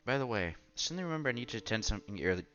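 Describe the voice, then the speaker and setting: A man with a fairly low, bright, forward voice reads a visual novel aloud in a casual let's-play style. He trips over words and corrects himself, over faint music on a slightly dull mic. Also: very animated, rapid-fire. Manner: stumbles over words while reading, self-correcting. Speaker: host reading a visual novel.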